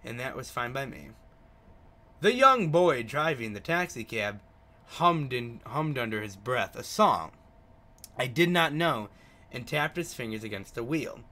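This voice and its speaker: A man's voice: mid-range voice, very animated, unhurried, bright, forceful tone. A man reading a visual novel aloud as a gaming video host, stumbling over words and adding his own asides. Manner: stumbles over written words, rereads lines.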